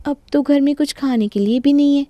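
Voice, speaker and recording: Woman playing a high-voiced, sugary young bride. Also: brisk, dark tone, no echo, dull audio, lightly acted. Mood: mock innocent. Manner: mock-innocent, pleading, sugary. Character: sly, sweetly apologetic young daughter-in-law. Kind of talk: Hindi cartoon story voice acting.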